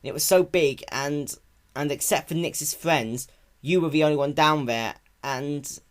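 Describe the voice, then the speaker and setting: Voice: medium pitch, a little slow, voice pushed up, close to an everyday voice. A performer voicing a young boy baby dragon in a cartoon-based story reading, anxious, protective and pleading, with a stammer. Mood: hesitant, tearful.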